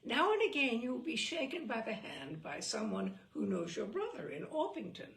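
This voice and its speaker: A woman host reading a humorous literary essay aloud with theatrical, deliberate, carefully phrased delivery. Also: on the high side, sing-song, creaky, fairly bright tone. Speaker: host and reader. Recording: roomy echo, distant microphone.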